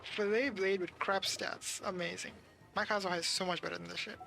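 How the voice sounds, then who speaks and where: Game streamer, creaky, bright sharp tone, grumbling and sweary, casual thinking-aloud commentary, faint music. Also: medium pitch, steady tone, fairly quick. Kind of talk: casual livestream gameplay commentary.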